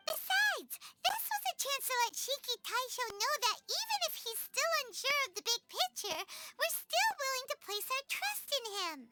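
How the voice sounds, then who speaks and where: Squeaky, cheeky little fairy girl. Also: sing-song, normal speed, few pauses. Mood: smug explaining. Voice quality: squeaky, childlike. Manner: refers to self in third person, exclaims, sulks. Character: tiny childlike female fairy companion, excitable and cheeky. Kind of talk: English video game voice acting. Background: background music.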